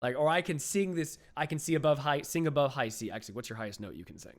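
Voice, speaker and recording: A young adult man hosting a podcast, chatting loosely, with lots of restarts and fillers and his voice often trailing off quietly. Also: mid-range voice, quick pace, slight background noise. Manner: rambling, many restarts and "like", drops to quiet mumbles. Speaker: podcast host. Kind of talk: casual interview chat.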